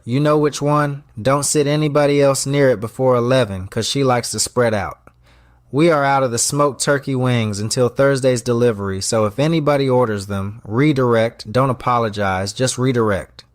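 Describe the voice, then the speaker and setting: A man's voice: medium pitch, moderate pace, no echo, lightly acted. A man playing a commanding restaurant boss in an audio drama, with a clipped, dryly funny delivery. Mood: brisk, commanding. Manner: commanding, clipped, dry humour. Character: brisk, wry male restaurant boss running a tight ship.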